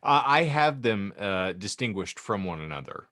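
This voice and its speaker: A man's voice: lowish voice, very animated, moderate pace, bright, forceful tone. A man bantering on a podcast in a wry, wordy way, using mock-formal phrasing. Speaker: podcast co-host.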